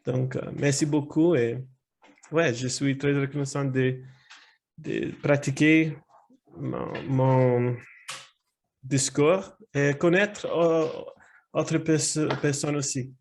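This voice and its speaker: A man's voice: fairly high pitch, steady tone, takes it slowly, a little mellow, slight background noise. A man who is not a native speaker introducing himself on a video call, searching for words with polite hesitation. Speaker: new club member introducing himself. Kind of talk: hesitant spoken self-introduction.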